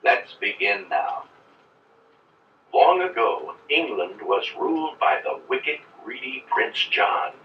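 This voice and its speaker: A man's voice: medium pitch, animated, slow pace. A man narrating a children's read-along storybook from a script. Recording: dull, echoey old record, faint noise, distant.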